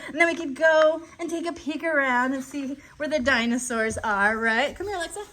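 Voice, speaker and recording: A woman's voice: high voice, a little fast, background noise. A woman hosting a casual live puppet show for children in a friendly, encouraging, upbeat way.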